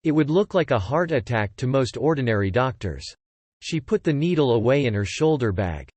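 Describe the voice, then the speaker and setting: A man narrating fiction from a script as an audiobook narrator, in a measured, descriptive way. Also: mid-range voice, sing-song, darkish tone, slightly rough, dry recording.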